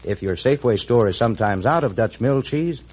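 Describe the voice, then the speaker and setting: A man's voice: medium pitch, animated, brisk, a little mellow, husky. An American man reading scripted announcements and narration in the style of 1940s radio. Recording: old 1940s radio recording.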